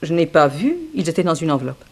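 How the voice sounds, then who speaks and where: An actress playing a frank, wry young dancer in an early 1960s French radio mystery, theatrical and gossipy. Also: female voice, sits low, animated, quick pace, darkish tone. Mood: matter-of-fact.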